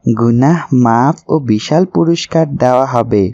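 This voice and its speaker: A man's voice: medium pitch, a little slow, warm tone, dry recording, muffled recording, close to an everyday voice. A man voicing an earnest, pious young man in a moral cartoon, speaking didactically. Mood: earnest, instructive. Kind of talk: cartoon voice acting, moral preaching.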